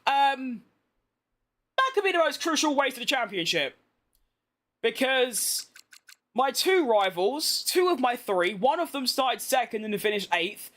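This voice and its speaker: Streamer, British man, worn out after a long day, rambling and self-mocking, pitched very high. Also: sing-song, measured pace, pauses often, bright, forceful tone. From Britain. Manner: chatty, rambling, self-deprecating. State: tired from being awake all day. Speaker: gaming streamer. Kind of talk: casual live-stream gameplay chat.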